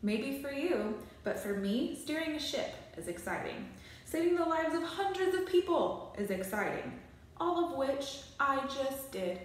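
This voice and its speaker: A scornful, self-important boy from a children's storybook acted by a woman. The delivery is grand and very animated. The room echoes heavily. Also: sits high, unhurried, pitched above the performer's own voice. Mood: grandiose. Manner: pompous, deadpan grandiosity. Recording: very echoey small bare room.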